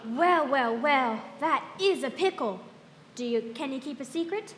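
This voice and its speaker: A woman playing a perky, chummy pitchwoman in an old-time radio commercial, with a chirpy, salesy delivery. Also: lively intonation, a little slow, fairly bright tone, a little hiss or hum. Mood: chirpy, confiding. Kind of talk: 1940s radio advertisement acting. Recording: echoey hall, stage live, music behind.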